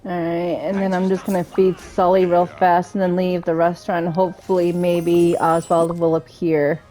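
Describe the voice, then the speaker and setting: A woman's voice: lowish voice, monotone, normal speed, dark tone. A woman giving casual gameplay commentary, chatting and thinking aloud as she goes. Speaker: game streamer playing and commentating. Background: game music.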